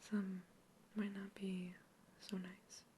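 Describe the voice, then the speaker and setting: A woman whispering in an ASMR roleplay as a polite human researcher questioning a captive alien, gently curious and reassuring. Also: medium pitch, flat delivery, slow, slight background noise, close to an everyday voice. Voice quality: soft whisper throughout.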